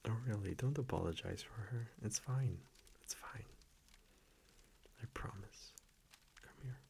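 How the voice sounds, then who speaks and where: A man playing a gentle, affectionate vampire boyfriend in an intimate ASMR audio roleplay, speaking softly and often dropping into a whisper. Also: on the low side, measured pace, slight background noise. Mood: tender. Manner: soft-spoken, breathy, tender, often dropping to a whisper. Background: soft fireplace ambience.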